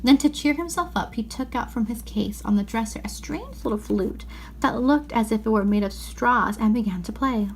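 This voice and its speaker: A woman reading aloud a children's novel as expressive scripted narration. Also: a little high, moderate pace, slight background noise. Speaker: storybook reader.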